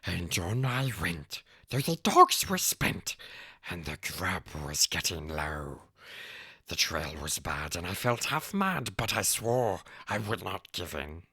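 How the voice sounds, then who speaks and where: Casting: male actor as wretched little fantasy creature, twitchy and whining. Harsh, forceful strained rasp, erratic swoops, slow dramatic recitation, close dry sound. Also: low voice, sing-song, no echo, exaggerated voice. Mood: haunted, brooding. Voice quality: raspy, croaky, strained throat. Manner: talks to self in plural, erratic swoops. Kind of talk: dramatic poem recitation in character.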